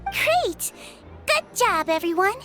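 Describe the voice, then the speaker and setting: A very high, sweet, girlish nurse character from a video game. She speaks gently and politely, explaining things with careful, earnest care. Faint music sits behind her. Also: very animated, slow pace, exaggerated voice. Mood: pleased. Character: small, gentle, childlike female head nurse, caring and earnest.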